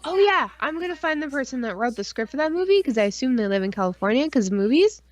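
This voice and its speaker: A woman's voice: high voice, sing-song, fast, dry recording. A woman co-hosting a casual podcast stream, ranting about films with sarcastic mock outrage and plenty of swearing. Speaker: podcast co-host. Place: live online stream.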